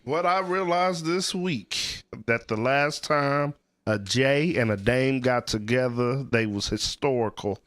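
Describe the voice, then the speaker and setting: A man co-hosting a casual podcast, talking in a slangy, conversational way with halting phrasing. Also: mid-range voice, fairly bright tone, no echo. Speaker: podcast co-host.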